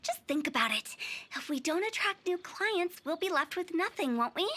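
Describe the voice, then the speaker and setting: A woman's voice: very high voice, animated, normal speed, pushed and bright, a little hiss or hum, exaggerated voice. A woman playing a perky, cheeky young funeral parlor director in an anime game, with theatrical sales patter. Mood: proud, persuasive. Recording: game audio with music behind.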